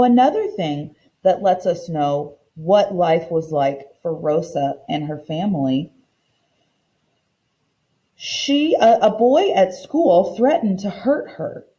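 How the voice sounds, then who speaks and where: Female teacher for a kids' read-aloud: lively intonation, soft mellow tone, simple and explanatory. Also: fairly low pitch, rough recording. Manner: simple, explanatory teacher talk addressed to young children. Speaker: teacher reading a picture book aloud.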